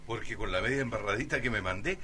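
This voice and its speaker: An actor playing an affectionate, teasing grown son with a Chilean accent, chatting casually in a radio drama. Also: male voice, fairly low pitch, fast, close to an everyday voice. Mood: fond, teasing. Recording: noisy ambience behind the voice.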